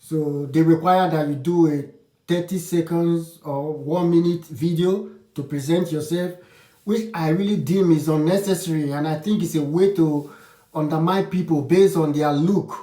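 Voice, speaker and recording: A man with a fairly high voice speaks his mind in a frank, opinionated way, breaking off and restarting as he goes. He sits some distance from the mic, and the room answers with a noticeable echo. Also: fairly even intonation, moderate pace, dark tone. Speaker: vlogger. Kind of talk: casual advice talk to camera.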